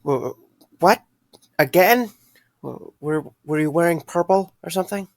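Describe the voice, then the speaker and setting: Casting: young male night guard played by a man, creaky voice, sarcastic, caring, very dry recording. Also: a little high, unhurried, no echo. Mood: concerned, dry. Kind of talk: audio drama acting.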